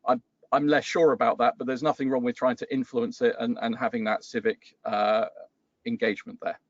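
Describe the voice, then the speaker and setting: A man's voice: medium pitch, flat delivery, a little fast, no echo, highs cut off. A man answering thoughtfully on a webinar panel, hesitating and restarting his sentences.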